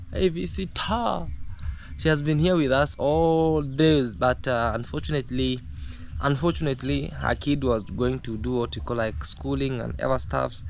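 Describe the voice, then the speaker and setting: A man's voice: medium pitch, creaky, slight background noise. A young man from Kenya chatting casually in a vlog from his rural home garden, full of fillers and restarts. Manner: hesitant, many fillers and restarts. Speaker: vlogger. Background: distant music.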